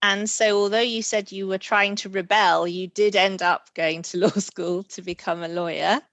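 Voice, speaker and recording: A woman hosting an interview podcast, reading out the guest's introduction and then asking short, friendly questions. The recording is dry and close, with almost no room sound. Her voice sits at an average pitch. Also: fairly even intonation, normal speed, fairly bright tone, dry recording. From Singapore. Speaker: podcast host.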